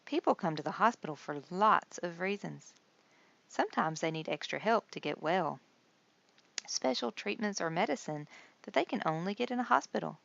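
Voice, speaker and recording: A woman's voice: fairly low pitch, lively intonation, moderate pace, vocal fry, fairly bright tone, lo-fi sound. A woman voicing a kindly hospital nurse who explains things patiently and reassuringly in a storybook. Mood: patient, informative. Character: kindly adult female hospital nurse guide.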